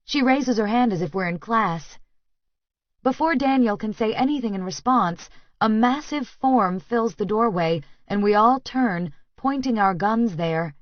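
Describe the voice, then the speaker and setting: A woman's voice: mid-range voice, no room sound, lo-fi sound. A woman reading first-person fiction as a scripted audiobook narration.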